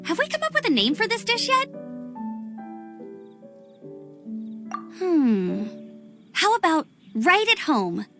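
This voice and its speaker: A woman's voice: very high voice, big pitch swings, normal speed, bright, forceful tone, soft background music, full character voice. A woman playing a friendly, sincere young outrider girl in a video game, speaking in a casual, chatty way. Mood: easygoing. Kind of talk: video game cutscene acting.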